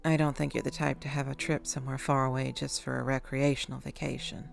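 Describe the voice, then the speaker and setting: A woman's voice: rumbling low, level tone, fast, vocal fry, faint music behind, full character voice. A woman playing a dry, formal Grim Reaper who is easily ruffled, in a horror-comedy audio drama. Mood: dry, questioning.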